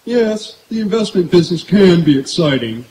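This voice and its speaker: Man playing a mellow, stuffy well-bred radio heir. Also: sits high, sing-song, normal speed. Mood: self-satisfied. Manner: genteel, mildly self-satisfied. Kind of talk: old-time radio comedy acting. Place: outdoor woodland stage, live performance. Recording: echoey outdoor stage, faint noise.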